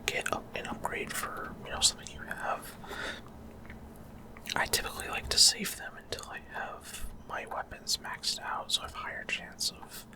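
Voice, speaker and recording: A man speaks in an unvoiced whisper, giving casual, halting ASMR commentary on gameplay and explaining as he goes, close to the microphone. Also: rough recording. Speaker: gaming video host.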